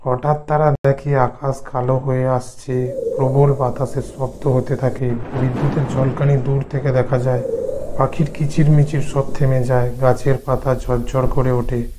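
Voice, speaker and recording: A man's voice: mid-range voice, little pitch movement, measured pace, noisy background. A man narrating a scripted horror cartoon story.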